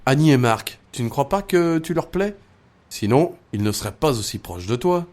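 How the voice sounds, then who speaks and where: A man acting as a calm, reassuring mentor in an audio drama, encouraging and instructive. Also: medium pitch, moderate pace, lightly acted. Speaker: actor in an amateur audio fiction.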